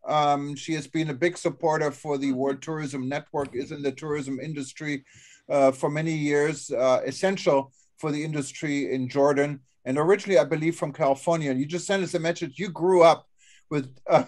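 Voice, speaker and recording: A man's voice: a little high, moderate pace, darkish tone. A man hosting an online meeting, introducing guests hesitantly with many fillers. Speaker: host. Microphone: video call.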